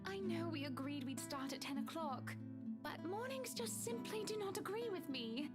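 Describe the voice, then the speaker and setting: A woman voicing a lively, pampered young wealthy wife who speaks in a soft, breathy, genteel way. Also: very high voice, moderate pace, over loud music, voice pushed up. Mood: airy. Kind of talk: game character voicing.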